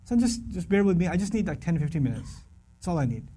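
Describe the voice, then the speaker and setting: A man voicing a gentle, patient father who speaks softly and pleads kindly with his son. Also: fairly high pitch, big pitch swings, fast, slightly rough, pitched above the performer's own voice. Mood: pleading. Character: gentle loving father, patient, later elderly.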